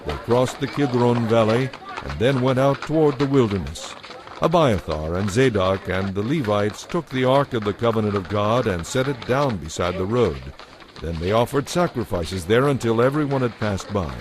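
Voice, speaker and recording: A man's voice: lowish voice, lively intonation, normal speed, background noise. A man narrating a dramatized Bible story from a script.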